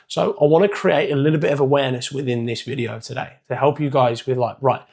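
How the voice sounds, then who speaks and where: A man speaking in a croaky, hoarse voice that is gravelly and rough at the edges. He sits a bit higher than most men. He gives a loose, chatty motivational coaching talk. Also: a little mellow. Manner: casual, chatty, loosely structured. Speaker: performance coach.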